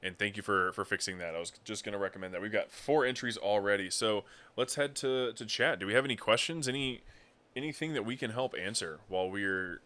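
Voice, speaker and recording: A man co-hosting a casual livestream, explaining a giveaway in a relaxed way with fillers. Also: fairly low pitch, brisk. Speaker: stream co-host.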